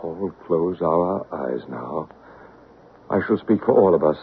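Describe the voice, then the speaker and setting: An actor playing a calm man who leads a seance and narrates the story, in a 1970s radio drama. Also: low-pitched, vocal fry, slight background noise, heavily acted. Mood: solemn. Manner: solemn, measured, hushed. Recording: tinny 1970s radio broadcast with faint music.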